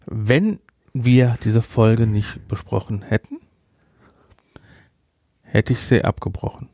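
A male podcast host chats through a review in a relaxed, dark voice with plenty of fry. He speaks bluntly and haltingly, and the sound is dry and close. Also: lowish voice, no room sound. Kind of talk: casual review chat.

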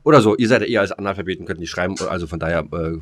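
A man's voice: deep voice, very fast. A man co-hosting a podcast in casual chat, colloquial and given to short, clipped remarks. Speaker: podcast co-host.

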